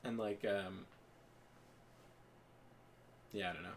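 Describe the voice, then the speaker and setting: A man's voice: on the low side, fairly even intonation, slow, a little hiss or hum. A young man chatting casually as a podcast co-host, rambling with lots of fillers and restarts. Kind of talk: casual chat while gaming.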